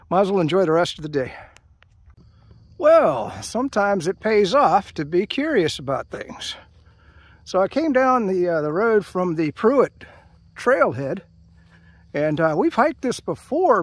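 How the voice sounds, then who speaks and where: Older man, American accent, high voice, halting rambling delivery, casual hiking vlog, dry outdoor sound. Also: no echo. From United States. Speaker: hiking vlogger. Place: outdoors at a trailhead by open fields. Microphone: handheld camera held by speaker.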